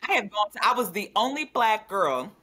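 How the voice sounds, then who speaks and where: Bright, emphatic young woman from Louisiana. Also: mid-range voice, big pitch swings, normal speed, husky. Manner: emphatic, passionate, repeats for emphasis. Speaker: panel guest. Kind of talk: casual political chat. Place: living room at home.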